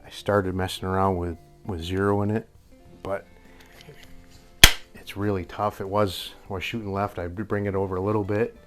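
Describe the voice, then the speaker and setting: An older man with a slightly hoarse voice, rambling through a casual airgun review in a workshop and chuckling often. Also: low voice, level tone, normal speed, over music. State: a little hoarse. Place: indoor workshop with tool wall. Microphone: camera at a few feet.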